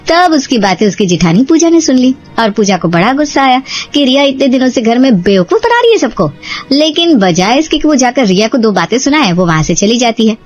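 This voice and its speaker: A woman's voice: on the high side, sing-song, fairly quick, muffled recording. A woman narrating a dramatized family cartoon story as the storyteller. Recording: music behind the voice. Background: background music.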